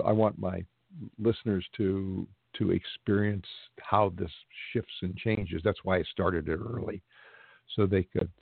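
A man hosting a radio interview, talking haltingly with many ums and restarts. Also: low-pitched, vocal fry, breathy edge, no echo. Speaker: radio host. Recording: tinny phone-like recording.

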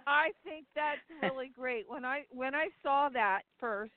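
A woman's voice: sits high, dry recording. A woman co-host asking questions and encouraging the guest with short affirmations. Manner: slow, encouraging, lots of short backchannels. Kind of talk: casual interview chat. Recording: phone-like audio with faint noise.

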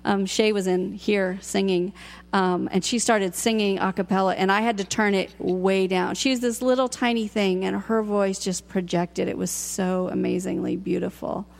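A woman hosts a radio interview with a loud, projecting voice that carries a gravelly edge. She is chatty and enthusiastic, sitting in the middle of the female range. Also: mid-range voice, steady tone, normal speed. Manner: enthusiastic, chatty, laughs often. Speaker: radio show host.

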